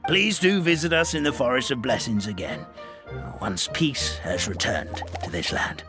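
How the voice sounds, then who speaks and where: A man's voice: mid-range voice, lively intonation, normal speed, lightly acted. A man voicing a kindly, courteous old grandfather of forest folk in a video game, speaking in formal, flowery phrases. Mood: solemn, grateful. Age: older. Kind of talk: theatrical game dialogue. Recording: music behind the voice.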